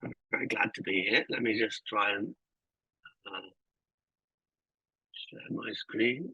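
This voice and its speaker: Male guest lecturer, informal and self-deprecating, slightly husky voice, dry close sound. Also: fairly low pitch, fairly even intonation, slow, bright, forceful tone, dry recording, dull audio. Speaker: guest speaker. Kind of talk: academic talk on a video call. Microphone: computer mic on a video call.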